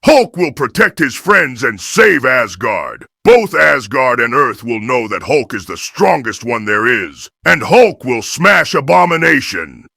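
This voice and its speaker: Gravelly, booming simple brute hero. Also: male voice, big pitch swings, unhurried, hardly any pauses, bright, forceful tone, dry recording, studio quality, exaggerated voice. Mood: defiant rage. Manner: blunt, broken simple speech. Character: Hulk, huge simple-minded brute hero who talks in third person. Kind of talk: video game character voice acting.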